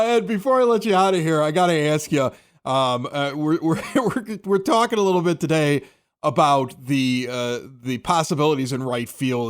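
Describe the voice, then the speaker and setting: Higher-voiced man hosting sports talk. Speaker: sports podcast host.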